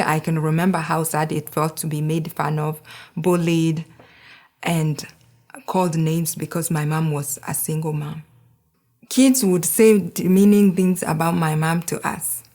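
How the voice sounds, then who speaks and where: A woman's voice: on the low side, a little mellow. A woman from Kenya, in her thirties, giving an earnest, heartfelt speech as a board member at a charity gala.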